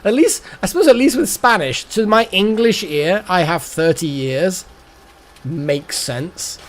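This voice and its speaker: A man's voice: sits high, animated, unhurried, a bit bright. A man in his thirties chatting casually on a live game stream, rambling and repeating words as he muses aloud.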